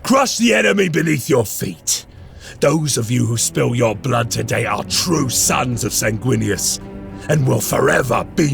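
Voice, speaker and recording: An actor playing a stern veteran space marine lieutenant in a game cutscene, grave and solemn. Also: male voice, on the high side, creaky, exaggerated voice. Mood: rallying. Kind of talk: video game cutscene acting. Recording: game audio over music.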